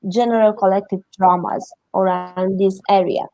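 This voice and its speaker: A middle-aged woman from Romania with a Romanian accent, answering interview questions haltingly as she searches for words in English. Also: mid-range voice, steady tone, warm tone. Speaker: interview guest.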